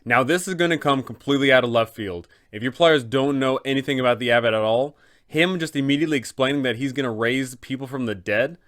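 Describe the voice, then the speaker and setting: A man's voice: mid-range voice, a bit bright. A man hosting a casual explanatory guide for tabletop game masters, talking in a conversational way. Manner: conversational, rhetorical questions. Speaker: host, game master giving advice.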